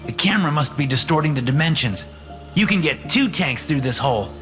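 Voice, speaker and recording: A man doing higher tense young voices. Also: lively intonation, fairly quick, fairly bright tone. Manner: raised pitch for dialogue. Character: young adult characters, men and a woman. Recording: music underneath.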